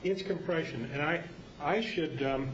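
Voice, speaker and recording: A man's voice: on the high side, a little slow, a bit bright. A man informally moderating a club discussion, talking in a casual, unscripted way. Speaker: meeting moderator. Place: club meeting room. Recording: muffled, noisy room recording, distant from microphone.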